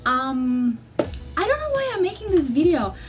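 A woman's voice: high-pitched, big pitch swings, a little slow, over music. A young woman from Greece with a Greek accent, chatting to a webcam in her bedroom in English, her second language, rambling with many fillers and restarts. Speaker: vlogger. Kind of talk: casual chat to camera. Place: small bedroom indoors. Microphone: laptop webcam mic at desk distance.

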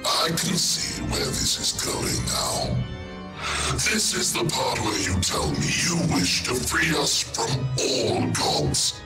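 A man's voice: mid-range voice, sing-song, slow pace. A man playing a sardonic, pompous ancient tech-priest genius in a dramatic reading, declamatory and mocking. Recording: echoing voice under loud music.

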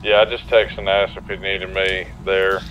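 A man's voice: low voice, level tone, moderate pace. A man playing an online roleplay game, giving radio-style updates to his teammates. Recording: dull voice-chat audio, faint vehicle noise.

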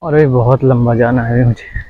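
Flat-voiced man giving walking tips. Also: mid-range voice, a little fast, phone-quality sound. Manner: chatty, instructive, addresses viewers directly. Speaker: vlogger. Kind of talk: casual advice vlog. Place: outdoors, walking in the sun.

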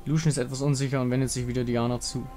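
A man's voice: medium pitch, little pitch movement, very fast, dark tone. A man narrating a fantasy game story in a dramatic scripted style. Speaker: narrator reading visual novel dialogue. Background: music.

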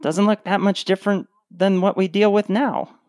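A woman's voice: mid-range voice, fairly even intonation, moderate pace, darkish tone, no echo, clean studio sound, natural voice. A woman playing a flippant, grumbling, contrary slacker in a comedic radio play.

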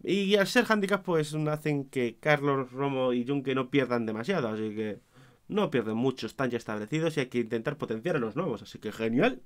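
A hoarse young man giving an opinionated, self-mocking casual review, with his volume swinging between loud and mumbled. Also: medium pitch, a little fast. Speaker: wrestling show reviewer.

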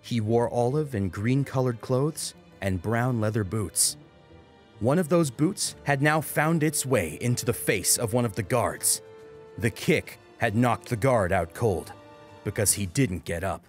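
A man's voice: mid-range voice, expressive, measured pace. A man narrating a scripted story as a dramatic audiobook reader. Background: music.

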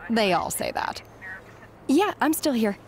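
A woman playing a harried middle-aged recruiter in a video game cutscene, brisk, distracted and businesslike. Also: fairly high pitch, sing-song. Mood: cool, firm. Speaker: head recruiter.

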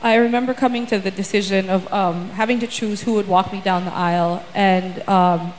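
A woman's voice: medium pitch, steady tone, normal speed, slight background noise, low-quality recording. A woman in her thirties giving an earnest personal testimony on a church stage, often repeating words as she gathers her thoughts. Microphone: handheld mic.

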